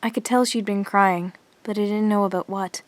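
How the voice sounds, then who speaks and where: A woman's voice: mid-range voice, fairly even intonation, normal speed, no echo, lightly acted. A woman playing an earnest, observant teenage girl who narrates her diary in an audio drama. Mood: bright, earnest.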